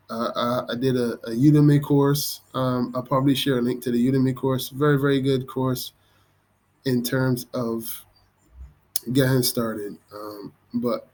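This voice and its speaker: A young Barbadian man walks through his screen in a loose, unscripted way. He hesitates often, fills gaps with "um" and restarts his sentences. His voice sits at an ordinary middle pitch. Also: a little mellow. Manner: hesitant, many ums and restarts. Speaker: vlogger. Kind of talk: casual screen-recorded walkthrough.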